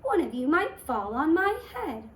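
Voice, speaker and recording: Woman playing a lazy, scornful fairy-tale girl, high. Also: very animated, measured pace, a bit bright, exaggerated voice. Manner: scornful, dismissive. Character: lazy, haughty young girl, dismissive and selfish. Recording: echoey room with faint noise.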